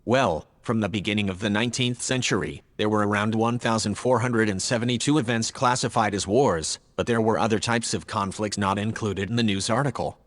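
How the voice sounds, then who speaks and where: A man playing a logical, earnest male artificial intelligence in an audio drama, speaking in a measured, factual, formal way. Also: fairly low pitch, big-room sound, lightly acted. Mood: earnest, matter-of-fact. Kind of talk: scripted audio drama dialogue.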